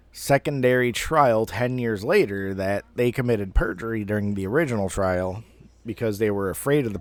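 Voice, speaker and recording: A man in his thirties telling a personal story casually, in a rambling, halting way with some swearing. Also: fairly low pitch, dry recording. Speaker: amateur storyteller.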